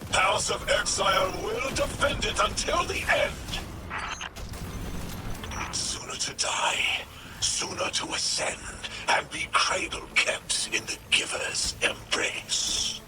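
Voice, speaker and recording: An actor playing a fanatical alien religious leader in a video game, with a harsh breathy rasp and grandiose, cryptic, broken speech. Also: male voice, high voice, very animated, slow pace, heavy background noise, exaggerated voice. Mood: menacing zeal. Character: fanatical alien Archon, prophet-leader of an exiled house. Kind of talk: video game villain acting.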